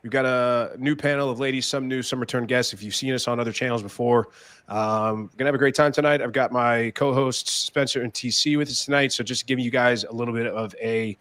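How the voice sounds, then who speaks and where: A man's voice: mid-range voice, fairly even intonation, a little fast, a bit bright, no echo. Upbeat man hosting a dry-sounding podcast panel. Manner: upbeat, keeps things moving. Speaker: podcast host and moderator. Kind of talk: casual panel show hosting.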